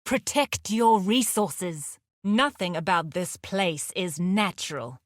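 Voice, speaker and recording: A fierce female hunter of the wilds in a video game. She is grim and blunt, weighing her words with slow, grave deliberation. The sound is close and dry. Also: fairly low pitch, lively intonation, no room sound. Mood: menacing, defiant. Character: fierce, grim female wilderness huntress and beast scholar. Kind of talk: scripted video game character lines.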